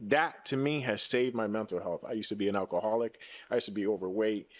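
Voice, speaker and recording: A man in his forties co-hosting a podcast, speaking emphatically at a measured pace and asking pointed questions. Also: on the low side. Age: 40s. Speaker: podcast co-host. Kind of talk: casual podcast conversation.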